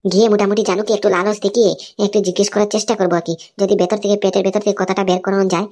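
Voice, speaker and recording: A woman playing a brisk, inquisitive underling to a boss in a comic cartoon. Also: medium pitch, little pitch movement, darkish tone, close to an everyday voice. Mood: plotting.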